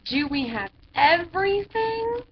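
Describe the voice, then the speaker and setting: A woman's voice: very high voice, sing-song, slow, pitched above the performer's own voice, heavily acted. A woman voicing a practical, firm father in a picture book, with checklist-like questions and replies. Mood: brisk, checking. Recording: dull lo-fi sound with background noise.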